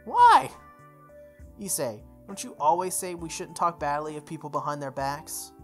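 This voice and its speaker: An earnest schoolboy from a visual novel, acted out by a woman, speaks in a low register. He sounds puzzled and questions or protests what he hears. Faint music runs behind him. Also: big pitch swings, normal speed. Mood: indignant.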